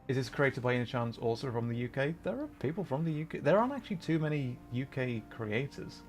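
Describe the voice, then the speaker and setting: A man's voice: mid-range voice, animated, fast. Man livestreaming over background music. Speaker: livestream host, VTuber gamer. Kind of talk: casual chat mixed with game commentary.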